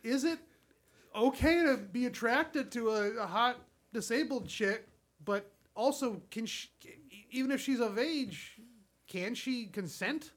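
A man's voice: extremely high pitch, animated, unhurried, fairly bright tone. A man hosting a crude comedy podcast, chatting casually in a rambling, repetitive way and swearing freely. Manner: rambling, repeats himself, swears. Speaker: podcast host.

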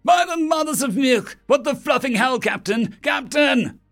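A man voicing an excitable, fearful otter astronaut in an audio story. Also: on the high side, measured pace, faint music behind, heavily acted. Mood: terrified. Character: excitable, fearful young-spirited male otter astronaut crewmate.

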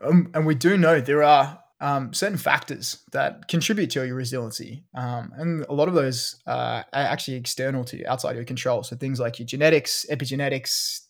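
A man's voice: medium pitch, a little fast, vocal fry, hardly any pauses. Australian man explaining research casually. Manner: explanatory, with frequent fillers. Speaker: podcast co-host. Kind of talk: casual science chat.

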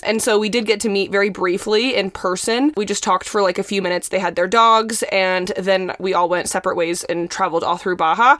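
A woman's voice: medium pitch, fairly bright tone, dry recording. A woman hosting a podcast in a casual, chatty way, full of "like" fillers. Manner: chatty, filler-heavy with frequent "like". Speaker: podcast host. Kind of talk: casual interview chat.

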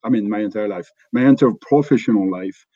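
French-accented man, low and halting. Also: dark tone, no echo, dull audio. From France. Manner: halting, many restarts and fillers. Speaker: company executive guest. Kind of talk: relaxed conversational interview.

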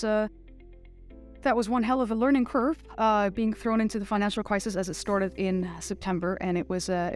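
Low-pitched middle-aged woman, interview guest. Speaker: interview guest, finance executive. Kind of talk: conversational interview answers.

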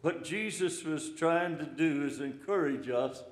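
An older man preaching an informal, encouraging sermon in a slow, plainspoken way. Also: fairly high pitch. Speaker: pastor. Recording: echoey room, hall reverb.

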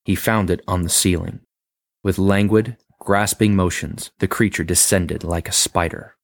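A man with a very low voice narrates a horror tale, taking his time over each sentence and leaning into the suspense. The sound is close and dry, with no room around it. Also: fairly even intonation, no room sound. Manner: measured, dramatic pacing. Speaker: story reader. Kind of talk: scripted horror story narration.

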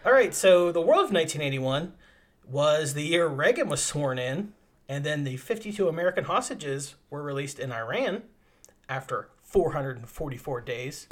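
A man's voice: on the high side, lively intonation, normal speed. Chatty man, upbeat movie-podcast host. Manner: enthusiastic, chatty, rambling movie trivia. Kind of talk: casual chat about a horror film.

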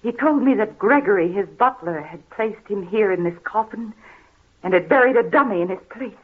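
An actress playing a frightened, tormented heiress in a 1930s gothic radio mystery, with halting, dramatic delivery. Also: female voice, mid-range voice, lively intonation, husky. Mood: anguished, confessing. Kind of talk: 1930s old time radio acting. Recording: muffled, scratchy 1930s radio recording.

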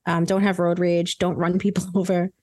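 A woman's voice: fairly low pitch, little pitch movement, moderate pace, darkish tone. A woman co-hosting a podcast with casual, playful, sarcastic banter and storytelling, often laughing as she talks. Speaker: podcast co-host.

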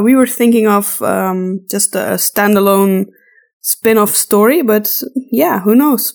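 A woman's voice: medium pitch, gravelly, darkish tone. A woman co-hosting a podcast, talking casually and conversationally. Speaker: podcast co-host.

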